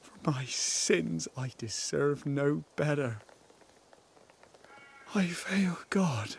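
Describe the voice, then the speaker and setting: A man playing a guilt-ridden, devout settler in a video game drama, with a breathy, halting, anguished delivery. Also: on the high side, animated, exaggerated voice. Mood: anguished, guilty. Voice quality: breathy, husky, breaking into whispers.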